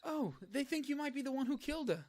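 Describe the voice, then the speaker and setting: Flustered British gentleman, wildly swooping, done by a woman. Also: high-pitched, lively intonation, quick pace, background noise. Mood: awkwardly sympathetic. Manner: blunt, awkward, easily flustered. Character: flustered, confused gentleman fiancé with affected British accent. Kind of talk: animated comedy mystery acting.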